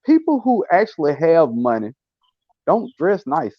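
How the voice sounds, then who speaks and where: A man's voice: on the high side, very animated, a little slow, no echo. A man in his forties on a casual video chat, blunt and laid-back. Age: 40s. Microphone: video call. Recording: dry, dull video call audio.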